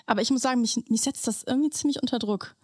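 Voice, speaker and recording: A woman's voice: a little high, very fast, husky, no room sound, studio quality. A woman co-hosting a podcast, chatting informally with slangy English words mixed in. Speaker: podcast co-host. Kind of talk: casual chat between co-hosts.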